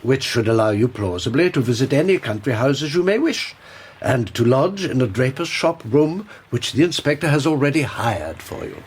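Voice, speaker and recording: Brief: brisk agency boss, husky and animated. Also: male voice, mid-range voice. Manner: brisk, good-humoured. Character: Victorian detective agency head, middle-aged man.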